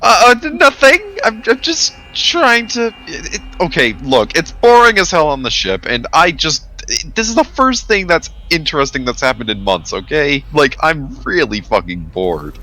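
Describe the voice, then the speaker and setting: A man's voice: on the high side, big pitch swings, moderate pace, few pauses, sharp tone, full character voice. A man voicing a bored, restless sci-fi crew member in a tabletop game, pleading in a nervous, whiny stammer.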